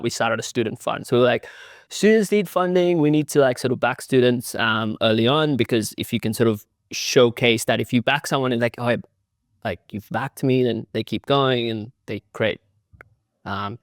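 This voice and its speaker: A man's voice: medium pitch, normal speed, no echo, studio quality. A young man raised in Sydney, a guest telling his story in a loose, chatty way full of "like" and "sort of". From India, raised in Sydney, Australia. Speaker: guest, city founder. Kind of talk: casual interview talk.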